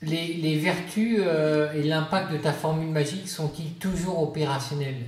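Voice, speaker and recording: A male podcast host with a slightly raised pitch asks long, rounded questions. He sits some way from the mic, and the room around him adds a strong echo. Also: moderate pace, darkish tone, distant mic. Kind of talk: podcast interview.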